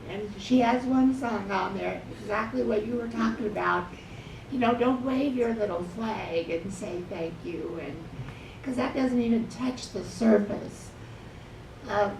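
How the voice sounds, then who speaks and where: A woman's voice: mid-range voice, distant mic, background noise. Chatty woman off-mic in an echoey hall. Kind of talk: panel discussion.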